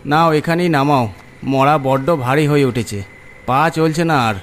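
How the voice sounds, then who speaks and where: Man doing high-pitched complaining village corpse bearer. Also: measured pace, faint music behind, close to an everyday voice. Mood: pleading, exhausted. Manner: pleading, complaining. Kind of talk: horror cartoon story dialogue.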